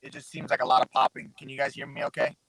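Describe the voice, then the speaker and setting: A man's voice: medium pitch, flat delivery, fast, a bit bright, low-quality recording. A man from Southern Arizona, a guest fighter, chatting casually and rambling with lots of fillers over a remote mic that pops. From Southern Arizona, USA. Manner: laid-back, rambling, full of fillers. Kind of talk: casual interview chat. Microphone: remote call mic with popping.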